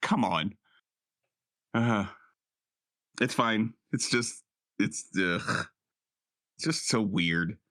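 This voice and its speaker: A man's voice: on the low side, very slow, long pauses, bright, forceful tone, no echo, studio quality. A man playing a tabletop roleplaying game in casual, sardonic, exasperated banter with some swearing. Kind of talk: casual banter and in-character chat.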